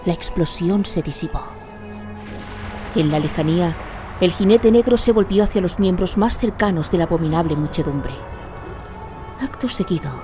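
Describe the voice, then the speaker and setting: A female narrator tells a fantasy radio story in a dramatic scripted style. Clear music plays behind her voice. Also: fairly low pitch.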